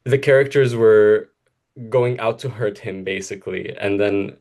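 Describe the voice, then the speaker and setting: A man's voice: on the low side, a little slow, vocal fry, a little mellow. A young man from Egypt whose first language is Arabic, co-hosting a casual chat, laughing often and talking in rambling restarts. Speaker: co-host. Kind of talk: casual conversation.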